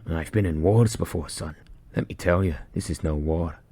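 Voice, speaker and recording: A man plays an old veteran soldier in a war story, with a very deep, gruff voice. He is weary and grim, speaking low with a husky rasp. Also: normal speed, no room sound. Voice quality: gruff, hushed.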